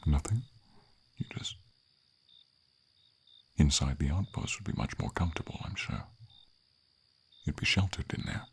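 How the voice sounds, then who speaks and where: A gentle silver dragon, acted by a man, with a very deep voice full of gravel and breath. He speaks slowly and tenderly in formal, noble phrases, with a melancholy air suited to a fantasy ASMR roleplay. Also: sing-song, heavily acted. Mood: shy, tender. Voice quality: husky, gravelly, breathy low voice.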